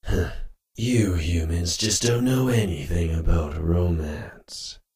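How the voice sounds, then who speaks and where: Wolf companion voiced by a man: very low, unhurried, stern, terse and blunt, fantasy audio drama. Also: a little mellow. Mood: terse, disapproving. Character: gruff, stern male wolf, a wary nocturnal companion.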